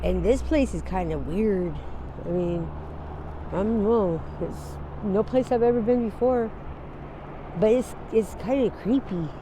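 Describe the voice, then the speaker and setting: Woman in her forties, halting rambling talk, outdoors, faint traffic. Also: medium pitch. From Wyoming. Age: 40s. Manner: rambling, halting, laughs easily. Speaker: interviewee. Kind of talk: candid street interview. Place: outdoors on a city street.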